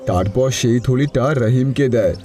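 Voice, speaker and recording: A man's voice: mid-range voice, a little slow, over music. A man narrating a scripted cartoon story for viewers.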